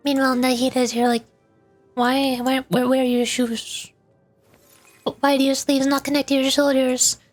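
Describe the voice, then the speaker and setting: A woman chatting casually and playfully on a gaming livestream, with animated delivery. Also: high-pitched, normal speed. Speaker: VTuber streamer, host. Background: background music.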